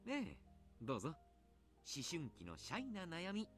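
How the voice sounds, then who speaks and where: An actor playing a jovial, kindly adult coach in a visual novel, polite, chatty and playfully teasing. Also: male voice, a little high, huge pitch swings, fast talker, fairly bright tone, soft background music. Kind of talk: Japanese visual novel voice acting.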